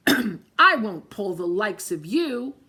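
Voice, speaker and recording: A woman's voice: medium pitch, big pitch swings, drawn-out words, pushed and bright, husky, heavily acted. A woman voicing a big, self-important male freight engine in a storybook, bellowing his lines. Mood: pompous.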